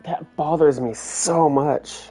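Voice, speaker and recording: A man's voice: on the high side, slow pace, mellow, soft background music, rough recording. A man streaming a video game with casual, reactive commentary, blunt and incredulous in manner.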